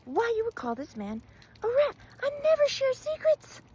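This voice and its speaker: A woman's voice: very high voice, wildly swooping pitch, normal speed, mellow, slight background noise, voice pushed up, heavily acted. A woman voicing an indignant, wounded male rat in an oral story, protesting emphatically and pleading his case. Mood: puzzled, protesting.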